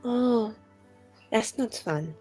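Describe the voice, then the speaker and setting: Female roleplayer, slightly high voice, slow halting questioning phrases, music behind. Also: expressive, warm tone, husky. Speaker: tabletop roleplaying player. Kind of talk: conversational in-character roleplay. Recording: background music.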